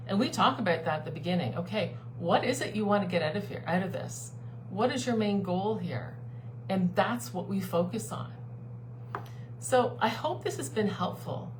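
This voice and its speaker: Earnest life coach woman, humming background. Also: lowish voice. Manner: earnest, persuasive, repeats key phrases. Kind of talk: live-streamed talk to a online group. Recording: steady background hum.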